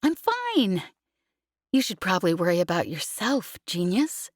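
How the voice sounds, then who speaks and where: A woman's voice: medium pitch, huge pitch swings, a little slow, no room sound, clean studio sound. A woman voicing a cocky, guarded young woman who sounds jaunty and flippant, in an audiobook.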